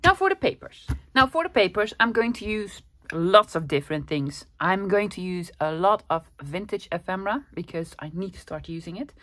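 A woman's voice: mid-range voice, animated, moderate pace, dry recording. A woman from the Netherlands with a Dutch accent, hosting a casual crafting tutorial in a chatty, self-deprecating way, slightly under the weather with a cold. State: coming down with a cold, throat clearing. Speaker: crafting channel host.

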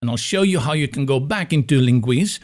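A man's voice: medium pitch, lively intonation, a little fast, darkish tone. A man in his forties whose first language is Afrikaans, hosting a web tutorial as an upbeat, enthusiastic explainer, recorded on a large studio mic in a small room. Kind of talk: screen-recorded software tutorial. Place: small room with window blinds, indoors. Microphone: large studio mic in front of face.

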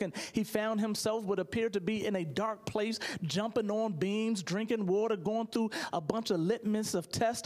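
A middle-aged American man, a pastor, preaching emphatically and repeating phrases from a church pulpit into a handheld mic. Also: high-pitched. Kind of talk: sermon. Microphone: handheld microphone.

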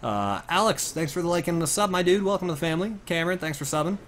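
A man's voice: a little high, animated, fast, fairly bright tone. A young American man chatting in a friendly, casual way as he commentates his video game livestream. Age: young adult. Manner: chatty, friendly, thanking viewers. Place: indoor room, at a desk.

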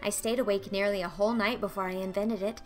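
A woman's voice: mid-range voice, fairly even intonation, fast, close to an everyday voice. Storybook role: dreamy, talkative orphan girl, played by a woman, gushing, breathless, theatrical, faint music underneath. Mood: dreamy chatter. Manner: breathless, gushing, dramatic, flowery wording. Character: excitable, dreamy, talkative eleven-year-old orphan girl. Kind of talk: audiobook character acting.

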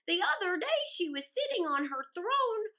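A woman's voice: very high-pitched, sing-song, normal speed, pushed and bright, full character voice. A woman voicing a large, kindly, gossipy crow in a storybook reading, with squawks and emphatic phrasing.

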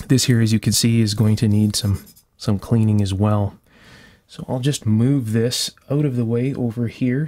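A man with a medium-pitched voice narrates hands-on repair work in a casual way. He explains each step carefully, like a workshop host walking a viewer through the job. The sound is dry, with very little room in it. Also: warm tone, dry recording. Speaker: electronics restorer and video host. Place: indoor electronics workshop at a bench.

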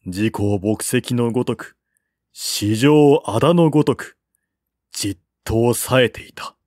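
A man's voice: medium pitch, unhurried, darkish tone, no echo, clean studio sound. A man reading a historical novel aloud as scripted literary narration. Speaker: audiobook narrator.

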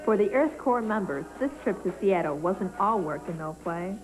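A woman's voice: medium pitch, expressive, normal speed, music in the background, rough recording. A young woman reporting and narrating a teen news feature in a chatty, explanatory tone.

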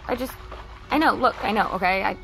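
A woman's voice: medium pitch, quick pace, creaky, a bit bright, poor audio. A woman with an American accent playing a blunt, exasperated teenage girl in a naturalistic audio drama. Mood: defensive.

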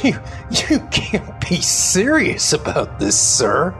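An actor playing a gruff, loyal military sergeant in an audio drama, deliberate and deferential, with a gravelly voice. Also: male voice, sits high, wildly swooping pitch, over music, exaggerated voice. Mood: concerned.

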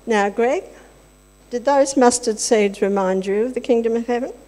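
A woman's voice: a little high, moderate pace, warm tone. An older woman leading a playful children's talk in a church service, chatting and asking the children questions. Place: large church hall with congregation. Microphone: handheld microphone. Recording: long hall reverb.

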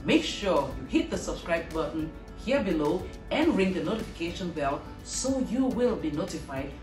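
A woman's voice: on the low side, very animated, moderate pace. A woman announcer reading a scripted channel promo in an upbeat way. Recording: distant roomy recording with music.

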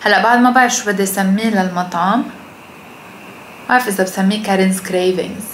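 A woman's voice: mid-range voice, quick pace, low-quality recording. A young adult woman with a Lebanese Arabic accent chats casually to camera as a food vlogger, mixing English phrases in and speaking bluntly. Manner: blunt, swears freely. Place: indoors at home, kitchen and living room. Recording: clear background noise.

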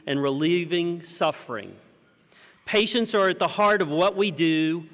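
An American man in his fifties giving a prepared conference keynote at a podium, with measured, rhetorical delivery and deliberate pauses. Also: a little high, a bit bright. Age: 50s. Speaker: keynote speaker. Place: large conference hall stage, indoors. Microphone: podium microphone. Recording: reverberant hall sound.

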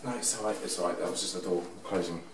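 Brief: rapid, urgent male explorer, distant, echoey derelict interior, noisy. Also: low-pitched, fairly even intonation. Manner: urgent, insistent instructions. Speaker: urban explorer. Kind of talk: handheld exploring vlog. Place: dark abandoned building indoors. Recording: echoey building, off-mic, loud noise.

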